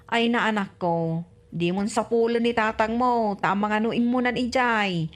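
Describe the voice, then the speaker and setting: A long-suffering village wife and mother, played by a woman in an Ilocano radio comedy drama. Her voice is high and chiding as she manages her household. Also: unhurried, close to an everyday voice. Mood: weary, chiding.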